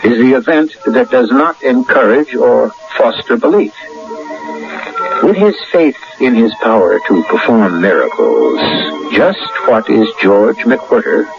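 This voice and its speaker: A man's voice: mid-range voice, sing-song, measured pace, pauses often, faint music behind. A man hosting a radio drama with theatrical, literary, scripted storytelling narration. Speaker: host and narrator. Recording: dull vintage radio broadcast.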